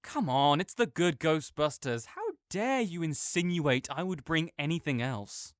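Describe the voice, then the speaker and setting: A man's voice: a little high, animated, a little slow, dry recording, clean studio sound. A young man in an audio roleplay, talking as a supportive friend in a playful, reassuring way.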